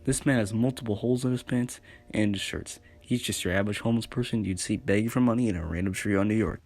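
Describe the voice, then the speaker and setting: A man's voice: on the low side, fast talker, darkish tone. A man narrating a scripted comic story for a homemade radio show.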